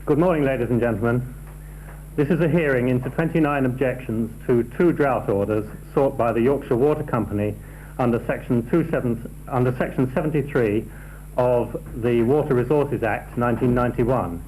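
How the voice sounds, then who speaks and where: A man's voice: medium pitch, darkish tone, low-quality recording. A man chairing a public inquiry, making a formal, official opening address. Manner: official, measured wording. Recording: faint hum and noise.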